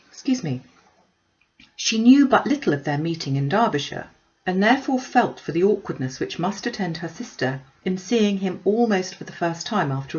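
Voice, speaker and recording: A woman with a low-pitched voice reads a classic novel aloud as scripted narration. The recording sounds slightly dull and muffled. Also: a little mellow. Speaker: audiobook reader.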